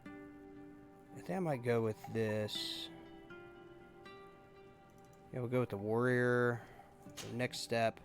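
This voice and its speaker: A man giving casual, halting gameplay commentary as a YouTuber, thinking aloud as he goes. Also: mid-range voice, steady tone, soft background music. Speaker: gaming YouTuber.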